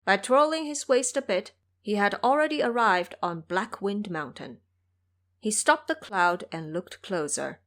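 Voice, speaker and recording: A woman narrating an audio drama with expressive, vivid storytelling. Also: medium pitch, unhurried.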